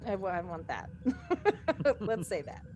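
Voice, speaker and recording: A woman's voice: medium pitch, sing-song, measured pace, darkish tone, slight background noise. A woman in a casual group chat, reading and answering fan questions in a playful way.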